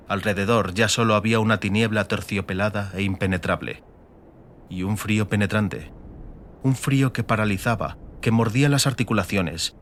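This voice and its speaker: A man with a fairly low voice narrates a fantasy audiobook. His delivery is scripted and dramatic, with a slight rumble of noise underneath. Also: a bit bright.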